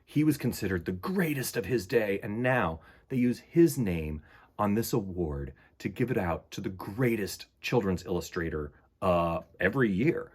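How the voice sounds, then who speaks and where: A man hosting a children's storytime, speaking and reading aloud with enthusiastic, theatrical emphasis and a husky voice. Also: on the low side, animated, normal speed, darkish tone. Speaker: host of a children's storytime show. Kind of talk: animated read-aloud and chat for children.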